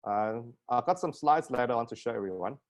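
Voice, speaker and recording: A man's voice: mid-range voice, lively intonation, fairly quick. A middle-aged man with a South African accent giving an informal webinar lecture on a video call, joking and self-deprecating. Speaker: guest lecturer, plastic surgeon. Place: indoor room at home, video call.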